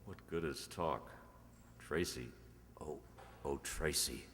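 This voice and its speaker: A man's voice: very deep voice, fairly bright tone, full character voice. A man playing an awkward, smitten suitor in a radio romantic comedy, speaking hesitantly. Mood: ardent. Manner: halting, hesitant. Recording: echoey hall stage recording.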